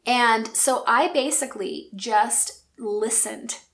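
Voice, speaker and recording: A woman in her forties telling a personal story in an earnest, reflective and gentle way. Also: a little high, takes it slowly, vocal fry. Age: 40s. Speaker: speaker and coach.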